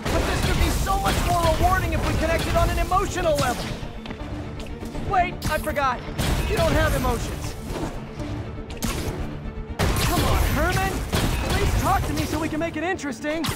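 An actor playing a young, chatty superhero in a video game, cocky but kind, with a quippy, teasing manner. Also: male voice, extremely high pitch, normal speed, pauses often. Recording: loud music over the dialogue. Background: loud game music.

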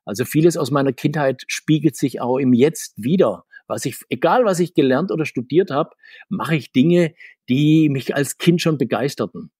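A man's voice: a little high, animated, moderate pace, darkish tone, husky, no echo, clean studio sound. A man in his sixties, a guest on a video-call interview, talking in a rambling, chatty way and laughing between points. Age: 60s. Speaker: interview guest, comics marketer. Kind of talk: casual interview talk. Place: home room full of figures.

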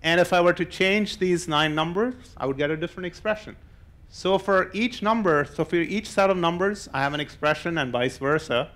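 A man's voice: sits high, moderate pace, fairly bright tone. An older man giving an informal explanatory lecture, talking conversationally and laying things out step by step. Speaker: mathematician and novelist giving a public lecture. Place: indoor lecture hall, on stage.

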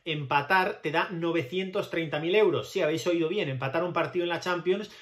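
Higher-voiced Spanish man talking football. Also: fairly quick, a bit bright. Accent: Spain Spanish. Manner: conversational, digressive, opinionated. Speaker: football channel host. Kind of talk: casual commentary to camera. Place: small plain indoor room with pale walls.

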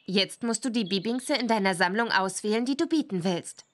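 An actress playing a friendly young witch who gives clear instructions in a children's game. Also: mid-range voice, moderate pace, close to an everyday voice. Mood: instructive.

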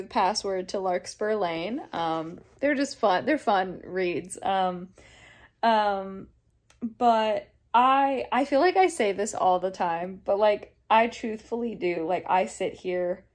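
A woman's voice: mid-range voice, darkish tone. Earnest rambling young woman vlogging. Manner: rambling, earnest, many restarts and fillers. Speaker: daily vlogger. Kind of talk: casual chat to camera. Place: living room at home, indoors. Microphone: phone camera held close.

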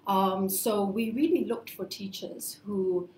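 A woman's voice: medium pitch, takes it slowly, darkish tone, echoey room, a little hiss or hum, low-quality recording. A woman from Hong Kong, a school founder, giving earnest, explanatory answers in a live interview. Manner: earnest, explanatory, with many fillers and restarts.

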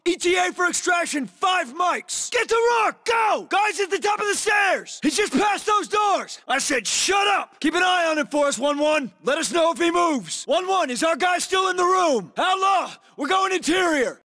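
A hard-bitten special forces leader for a military video game. The voice is bright and forceful, with a very wide-swinging pitch. Orders come in clipped tactical jargon with firm command. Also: male voice, animated, normal speed, hardly any pauses, full character voice. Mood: urgent, shouting. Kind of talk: video game combat voice lines.

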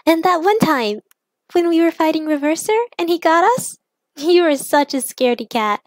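A woman performs a young superheroine in an audio story. She speaks high and expressively, confiding with playful warmth and earnest worry. The sound is dry. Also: animated, normal speed, dry recording. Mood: playful, teasing.

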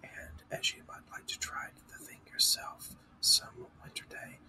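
A man voicing a solemn, long-winded, touchy dodo in a storybook, in a ponderous breathy whisper. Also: measured pace, distant mic, natural voice. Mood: explaining. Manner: pompous, ponderous.

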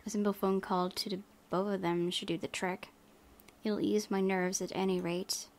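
A woman reading visual novel narration aloud as a let's play host, softly, with casual asides. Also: medium pitch, level tone, moderate pace.

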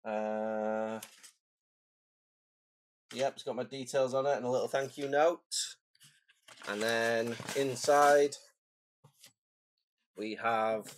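Croaky man unboxing notebooks. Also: medium pitch, a little hiss or hum. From England. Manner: rambling, self-deprecating, chatty asides. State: croaky, phlegmy from a cold. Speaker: stationery vlogger. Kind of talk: casual unboxing chat. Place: home desk, indoors.

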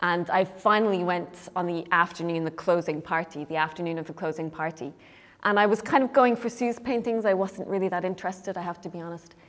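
A woman in her thirties with a soft voice talks informally to an audience at a stand mic, telling a story with casual fillers. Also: on the low side, a little fast, long reverb tail. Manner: chatty, informal, many fillers. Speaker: writer leading an artist talk. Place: gallery room, seated at a table.

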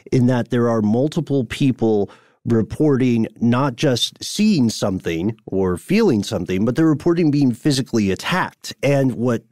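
A man's voice: medium pitch, a little mellow, no echo, clean studio sound. A man co-hosting a podcast, chatting casually and wryly, digressing and correcting himself with small laughs. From United States. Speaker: podcast co-host. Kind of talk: casual conversational podcast talk.

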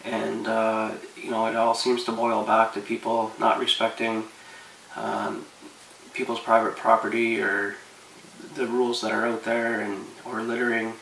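A man in his thirties giving relaxed, rambling fishing advice to camera from his living room couch, with lots of fillers. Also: on the low side, a little slow, creaky, reverberant, far from the mic, slight background noise, poor audio. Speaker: fishing vlogger.

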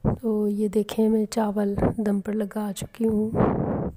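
A middle-aged woman calmly narrating her home cooking and daily routine vlog. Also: medium pitch, takes it slowly, poor audio. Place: home kitchen and rooms.

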